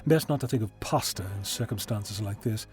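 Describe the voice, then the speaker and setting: A man's voice: lowish voice, fairly quick, a little mellow, close to an everyday voice. A man playing a genial, eccentric time-travelling scientist in an audio drama, chatty and given to lecturing. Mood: whimsical. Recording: music behind fan audio drama.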